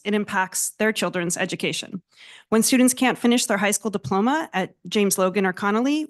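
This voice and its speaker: Mid-pitched woman teacher with a measured, articulate delivery at a public comment podium. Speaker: adult school teacher. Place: board meeting room.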